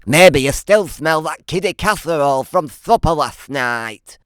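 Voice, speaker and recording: Giant's wife, acted by a man; clear, teasing singsong, dismissive storybook character, dry close sound. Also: fairly high pitch, animated, a little slow, a bit bright, no room sound.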